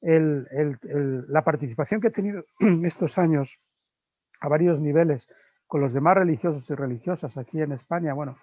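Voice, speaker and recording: A middle-aged man with a Spanish accent giving a spiritual lecture as a Dominican friar, reflective and pausing often to search for words with little tag questions. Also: fairly high pitch, warm tone, no echo. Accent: Spain Spanish. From Spain. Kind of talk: spiritual conference talk. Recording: dull, lo-fi dry recording.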